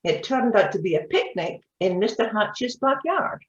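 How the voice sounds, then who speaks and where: Woman, readers theatre narrator, lively pitch movement, echoey, lo-fi. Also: moderate pace. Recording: dull, echoey room recording.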